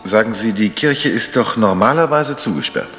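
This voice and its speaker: An actor playing a calm, wry, hard-boiled amateur sleuth in a radio crime drama, composed and questioning. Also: male voice, fairly low pitch, normal speed. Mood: inquiring. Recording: reverberant hall, faint music.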